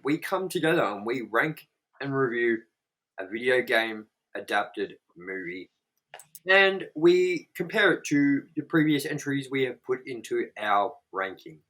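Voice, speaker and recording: A man's voice: mid-range voice, lively intonation, measured pace, fairly bright tone, poor audio. A man hosting a casual movie review show, jokey and loose, swearing freely. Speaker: show host.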